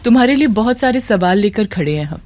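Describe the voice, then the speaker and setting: A determined young woman voiced by a female narrator in a horror audio drama, suspicious and accusing. Her mellow, mid-pitched voice stays controlled and pointed over faint music. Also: animated, fast, natural voice. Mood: questioning.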